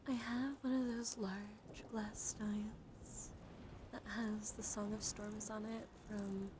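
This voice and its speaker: A woman live streamer chatting casually in an ASMR style, soft-spoken and often slipping into breathy whispers, close to the microphone. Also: mid-range voice, flat delivery, slow, background noise. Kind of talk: casual ASMR livestream chat.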